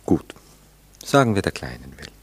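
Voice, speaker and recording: A charming, idle Viennese bachelor in a radio play. His voice is low, and he courts with gallant, teasing irony and a streak of self-pity. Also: sing-song, normal speed, husky, exaggerated voice. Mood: sheepish, playful. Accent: Austrian, Viennese. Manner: gallant, teasing, languidly ironic. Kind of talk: German radio drama dialogue.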